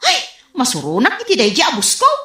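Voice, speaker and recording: A woman acting in a comedy radio drama as an excitable, exclaiming village woman. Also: high voice, brisk, full character voice. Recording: roomy, echoing sound.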